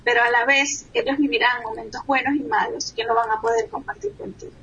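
A woman's voice: a little high, steady tone, fairly quick, sharp tone, muffled recording, a little hiss or hum. A woman from Venezuela, a guest on a talk show, speaking in an earnest, reflective way. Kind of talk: radio talk show interview.

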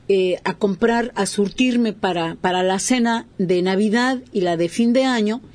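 A woman's voice: mid-range voice, darkish tone, no room sound. A woman hosting a talk show, conversational and full of little fillers.

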